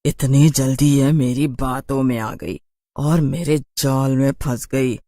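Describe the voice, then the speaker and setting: A man voicing a sly, boastful city witch in a cartoon horror story, in a slow drawl. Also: medium pitch, fairly even intonation, warm tone, no room sound, clean studio sound. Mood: gloating.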